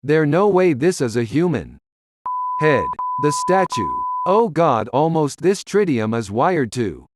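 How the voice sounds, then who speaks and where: Brave newspaperman, male actor, slow and deliberate with animated intonation, defiant, comic dub. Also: on the high side, heavy background noise. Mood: urgent. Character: brave, steady male veteran newspaper reporter.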